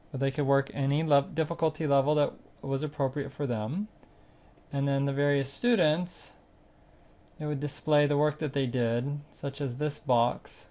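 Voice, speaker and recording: A man narrating a screencast tutorial, explaining a music training website step by step in a plain, unhurried way with occasional fillers. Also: mid-range voice, darkish tone. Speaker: site instructor demonstrating software.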